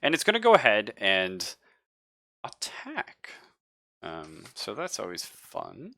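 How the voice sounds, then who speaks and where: A man's voice: lowish voice, huge pitch swings, slow pace, gravelly, bright, forceful tone. A man casually running a live tabletop roleplaying game as game master, with slangy, profane narration.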